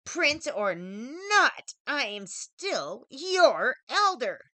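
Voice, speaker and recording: A woman voicing a scornful old hag witch in a storybook, scolding with hissing, drawn-out emphasis. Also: sits high, sing-song, pushed and bright, no room sound, voice pushed up, exaggerated voice. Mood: angry scolding.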